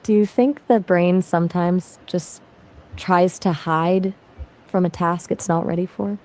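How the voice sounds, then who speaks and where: A friendly synthetic woman's voice with a slightly low pitch, chatting casually as a conversation partner. She sounds attentive and keeps things moving with quick follow-up questions. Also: steady tone, darkish tone. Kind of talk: casual chat.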